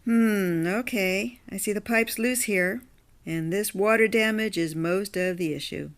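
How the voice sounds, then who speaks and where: A woman's voice: medium pitch, unhurried, lightly acted. A woman playing a blunt, independent blue-collar plumber with a casual, dry manner in an audio drama.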